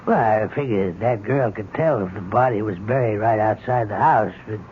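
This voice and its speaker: A dull, slightly noisy old radio recording of a man acting a simple-minded male accomplice in a mystery play. He is halting and deferential, with guilt in the way he explains himself. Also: fairly low pitch, natural voice. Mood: earnest, confessing. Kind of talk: 1970s radio drama acting.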